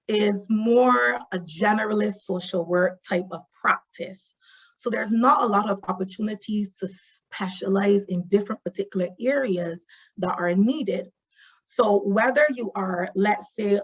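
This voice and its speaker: A woman's voice: mid-range voice, highs cut off. A woman from Barbados with a Barbadian accent, giving a webinar talk as a social worker. She explains point by point and keeps checking in with "right?" and "you know". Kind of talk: webinar presentation. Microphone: video call.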